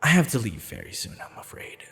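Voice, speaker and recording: A man playing a courteous, flirtatious assassin in an intimate ASMR roleplay, smitten and slightly sheepish, speaking softly and formally with hesitant pauses. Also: medium pitch, huge pitch swings, vocal fry, exaggerated voice. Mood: warm, apologetic. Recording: long reverb, music behind.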